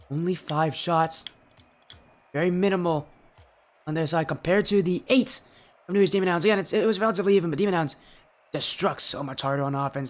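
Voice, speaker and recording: A young man doing solo play-by-play commentary for an esports match, sounding a little stuffy and yelling in high bursts at big plays. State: slightly stuffy from allergies. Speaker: esports caster.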